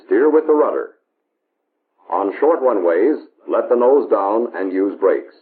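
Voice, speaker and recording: American male narrator, vintage 1950s training film: lowish voice, lively inflection, measured authority, narrow tinny soundtrack.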